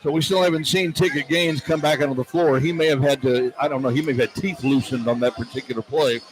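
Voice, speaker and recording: A man's voice: medium pitch, fairly even intonation, quick pace. A man calling live radio play-by-play of a basketball game from courtside on a broadcast headset. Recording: loud arena noise behind the voice.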